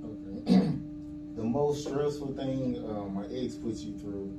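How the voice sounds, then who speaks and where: A male podcast host with a mid-pitched, lively voice asks blunt, teasing questions in casual slang. He is a little far from the mic, with loud music behind him. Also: slow pace. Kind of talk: casual interviewing with slang and swearing. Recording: echoey room, distant mic, loud music.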